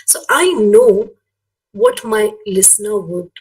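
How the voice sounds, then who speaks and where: A woman's voice: mid-range voice, lively intonation, slow, darkish tone. A woman with a Malayali Indian English accent, a native Malayalam speaker, giving a conversational, explanatory webinar lecture and often checking for agreement. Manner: conversational, frequently seeks agreement with tag questions. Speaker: academic guest lecturer. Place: online webinar. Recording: distant microphone, online webinar audio.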